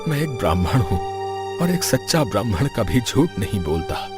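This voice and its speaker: A man's voice: lowish voice, very animated, normal speed. A man voicing a humble hesitant brahmin. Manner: deferential, hesitant. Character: humble, honest male brahmin. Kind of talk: cartoon voice acting. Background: background music.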